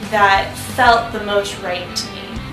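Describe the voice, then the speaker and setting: A young American woman with a mid-pitched voice tells her own story, unscripted. The room echoes noticeably and music plays clearly behind her. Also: steady tone, takes it slowly. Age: 20s. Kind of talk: unscripted personal testimonial.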